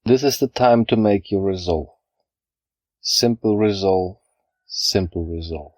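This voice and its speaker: A man leading a scripted guided meditation, speaking in a calm, measured, instructional way with pauses between phrases. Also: deep voice, no room sound. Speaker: yoga nidra guide.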